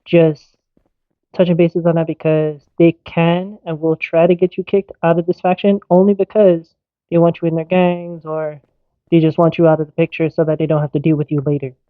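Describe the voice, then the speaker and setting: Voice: mid-range voice, level tone, moderate pace, no room sound. Tinny, phone-like voice in casual game chat. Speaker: player in an online roleplay game's EMS faction. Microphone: online game voice chat.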